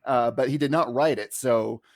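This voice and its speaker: A man's voice: medium pitch, normal speed, no echo, studio quality. A man acting as defense advocate in a mock trial, speaking emphatically and colloquially with theatrical courtroom flair. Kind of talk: theatrical courtroom argument mixed with casual banter.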